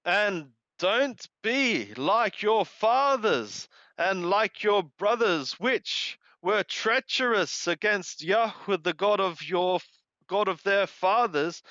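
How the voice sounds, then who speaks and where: Male scripture reader and translator, higher-pitched, deliberate phrase-by-phrase delivery, dry but muffled lo-fi sound. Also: bright, forceful tone, clean tone, dry recording. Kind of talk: scripture reading alternating Hebrew with literal English translation.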